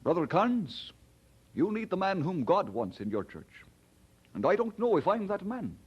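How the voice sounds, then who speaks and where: High, husky, earnest preacher. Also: male voice, sing-song, normal speed, heavily acted. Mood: thoughtful, concerned. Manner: earnest, courteous. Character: earnest, kindly, humble young male Scottish preacher. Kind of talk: radio drama acting. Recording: dull old radio drama recording.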